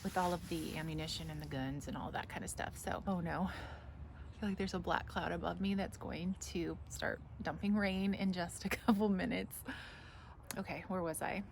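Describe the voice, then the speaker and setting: A woman's voice: lowish voice, steady tone, moderate pace, gravelly. A woman hosting a casual garden vlog, chatting in a rambling, enthusiastic, gushing way as she walks around outdoors. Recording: noisy outdoor ambience.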